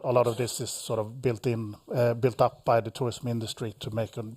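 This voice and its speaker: A man's voice: medium pitch, flat delivery, darkish tone. Guy on a panel, medium voice, lots of uh and repeats. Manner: halting, frequent fillers and repeats. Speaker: seminar panelist. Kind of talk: panel discussion.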